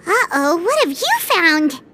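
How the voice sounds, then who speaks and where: A woman voicing a small, excitable, curious fairy companion with a squeaky childlike voice in a video game. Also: slow, heavily acted. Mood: curious, pondering. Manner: speaks of self in third person, exclamatory. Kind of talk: video game character acting. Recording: music under the voice. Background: prominent game music.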